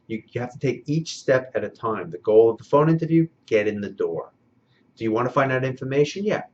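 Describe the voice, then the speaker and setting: A man working as a recruiter gives unscripted instructional advice, speaking deliberately and repeating key phrases for emphasis. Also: mid-range voice. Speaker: recruiter giving job-seeker advice. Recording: dull, band-limited sound.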